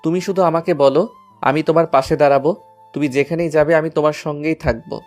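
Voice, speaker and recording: A man's voice: a little high, fairly even intonation, fast, darkish tone, faint music behind, natural voice. Man playing an earnest, lovestruck young suitor. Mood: devoted, reassuring. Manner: tender, earnest, reassuring. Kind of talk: romantic Bengali audio story acting.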